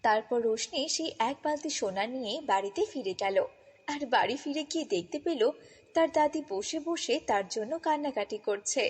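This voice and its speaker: A woman's voice: a little high, animated, normal speed, husky, in a large hall. A woman narrating a scripted children's fairy tale as a storyteller.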